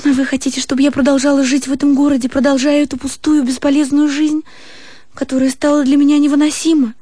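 An actress plays a young woman of artistic temperament in a radio drama. Her voice is high and close, recorded dry, and she speaks with theatrical feeling, in halting, impulsive phrases. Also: level tone, mellow, no echo, lightly acted. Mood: agitated, earnest. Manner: emotional, halting, breaking off and repeating words. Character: young woman, artistic, ambitious, playful, refusing a suitor.

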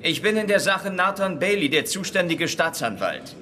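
An actor playing a zealous hard-line state prosecutor making official, forceful speeches in a German radio drama. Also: male voice, high-pitched, expressive, moderate pace. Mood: official, determined.

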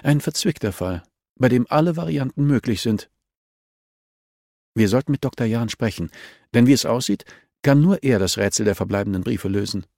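A man's voice: medium pitch, lively intonation, normal speed, gravelly, a little mellow, no room sound, clean studio sound. Man voicing a probing, teasing woman. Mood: analytical. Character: probing, teasing, sharp-minded woman, confidante and analyst.

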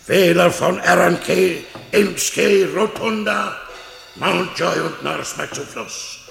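An actor playing a middle-aged Dublin man turned would-be ruler in a German radio play, with a gravelly voice. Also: high-pitched, slow, a bit bright, exaggerated voice. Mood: pompous oratory. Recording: echoey hall, music behind.

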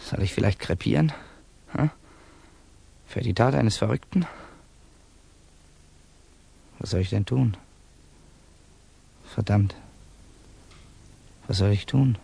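Husky creaky young russian student, anguished, talks slow, old radio drama. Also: male voice, on the low side, dark tone, full character voice. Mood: desperate. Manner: brooding self-questioning, bursting into outcries. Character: tormented young Russian student, anxious and self-justifying. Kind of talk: 1960s German radio play acting.

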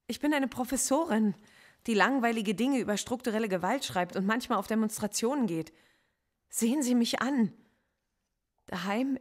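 A woman's voice: mid-range voice, a little mellow, natural voice. An actress playing a woman philosophy professor under interrogation in a radio drama, pleading and incredulous.

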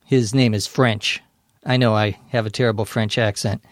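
A man's voice: fairly low pitch, fairly even intonation, moderate pace, no echo. A man hosting an English lesson podcast. He patiently explains vocabulary and spells words out, with a mildly playful touch, into a close studio microphone. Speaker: English teacher and podcast host.

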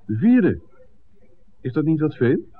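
A nosy film guy in an old radio play, lowish voice that swings a lot, wry, tinny recording. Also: big pitch swings, slow pace. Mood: probing. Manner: articulate, probing, wry. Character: inquisitive, suspicious male film maker. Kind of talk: 1960s radio drama acting.

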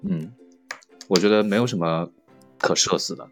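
A man's voice: on the low side, big pitch swings, normal speed, vocal fry, soft background music. A man speaking casually as an interview guest, with a joking, self-assured way of talking. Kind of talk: casual chat.

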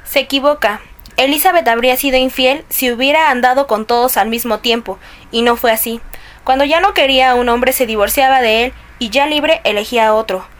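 A woman's voice: sits high, fairly quick, close to an everyday voice. An actress with a Mexican accent playing a touchy, gossipy older woman in a radio drama, opinionated and teasing.

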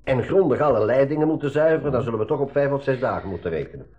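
A man plays a practical Flemish mechanic in an eighties radio play. He talks quickly at an average male pitch, sober and worried, forever cautioning. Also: lively intonation, darkish tone, lo-fi sound, close to an everyday voice. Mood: grave, worried. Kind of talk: 1980s school radio drama acting.

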